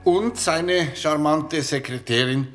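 An actor playing a company official who makes a formal, ceremonious announcement of new staff in a radio play. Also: male voice, on the high side, takes it slowly, fairly bright tone, faint music behind.

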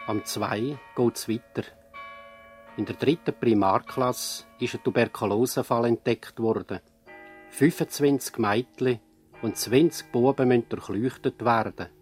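A man narrating a radio play as an earnest commentator, in deliberate, emphatic short phrases. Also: mid-range voice, soft background music, close to an everyday voice. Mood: matter-of-fact, urgent. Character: earnest, probing narrator-commentator of a medical drama.

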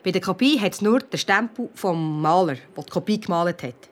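A reserved, official-sounding woman played by an actress as a museum director in a radio play. Her voice sits fairly low and stays formal. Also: lively intonation, moderate pace, close to an everyday voice. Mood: formal, startled.

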